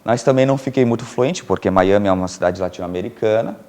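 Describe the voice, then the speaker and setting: A middle-aged man from Brazil, a filmmaker and producer telling stories as a TV interview guest, animated and laughing often, with many fillers and restarts. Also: lowish voice, fast. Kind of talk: casual storytelling in a TV interview.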